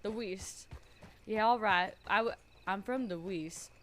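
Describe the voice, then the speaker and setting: A woman streamer chatting loudly and nervously to her viewers, thinking out loud and swearing freely. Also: medium pitch, a little slow, slight background noise. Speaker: streamer playing a roleplay character. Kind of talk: casual chat to stream viewers.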